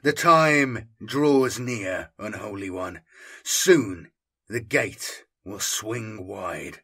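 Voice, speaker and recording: Guy as a big evil chaos warlord, grave n commanding, slow raspy menace, audiobook. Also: on the low side, expressive, pushed and bright, heavily acted. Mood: gloating. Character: hulking, commanding demonic warlord, male, menacing villain.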